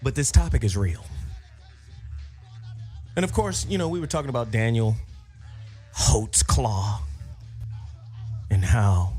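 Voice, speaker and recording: A man's voice: medium pitch, slow pace, long pauses, a little mellow, faint music behind. A man hosting a radio talk show in a boisterous, colloquial, bantering way. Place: studio with guests.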